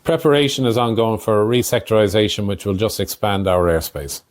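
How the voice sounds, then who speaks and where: A man with a fairly low voice narrates air traffic control procedures. His delivery is measured and technical, explaining each step as a podcast narrator would.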